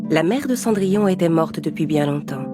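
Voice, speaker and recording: A woman's voice: low-pitched, lively intonation, a little fast, over loud music. A woman narrating a scripted bedtime fairy tale for young children as the storyteller.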